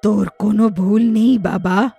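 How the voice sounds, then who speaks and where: A woman's voice: mid-range voice, fairly even intonation, a little slow, vocal fry, mellow, breathy edge, faint music behind. A woman voicing a wronged elderly mother turned witch ghost in a story, emphatic, reproachful and pleading. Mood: soothing, motherly.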